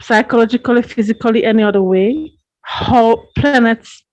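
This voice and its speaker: A woman from Hungary, a guest astrologer explaining her craft in an earnest, measured way. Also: fairly high pitch. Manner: earnest, measured, gently repeats words. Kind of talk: interview answers, explaining at length. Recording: dull lo-fi podcast audio.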